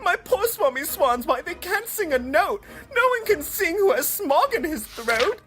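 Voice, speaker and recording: A woman reading a storybook aloud, voicing a small, fussy male forest creature who scolds in a high, squeaky voice. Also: very animated, measured pace, a bit bright, soft background music, exaggerated voice. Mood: scolding.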